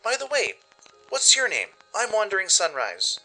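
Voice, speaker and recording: A man's voice: sits high, huge pitch swings, moderate pace, bright, forceful tone, faint music behind, voice pushed up, exaggerated voice, low-quality recording. A man voicing a cute, eager young female pony in a radio play. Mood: cute, upbeat.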